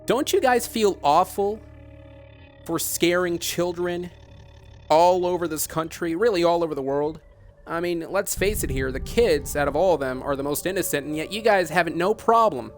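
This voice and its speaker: A man's voice: a little high, expressive, normal speed, faint music behind. A man hosting an on-air interview, putting long, challenging questions in an earnest, insistent yet polite and reproachful way. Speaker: broadcaster interviewing by phone.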